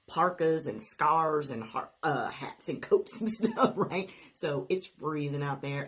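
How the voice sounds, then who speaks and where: A chatty, animated woman with a slightly scratchy voice, hosting a casual, upbeat live shopping show. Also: sits low, breathy edge. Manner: chatty, animated, laughs while talking.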